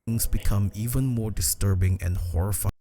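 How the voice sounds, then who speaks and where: A man's voice: fairly low pitch, normal speed, warm tone. A man reading a scripted horror story narration for a video. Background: background music.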